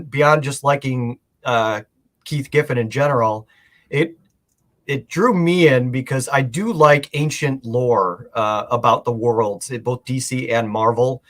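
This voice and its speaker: A man chatting casually as a guest collector, explaining things informally and thinking aloud. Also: medium pitch. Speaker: guest comic art collector.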